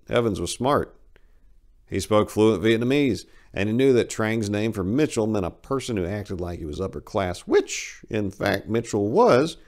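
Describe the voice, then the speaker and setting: A man narrating war fiction as a dramatic story reading. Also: on the low side.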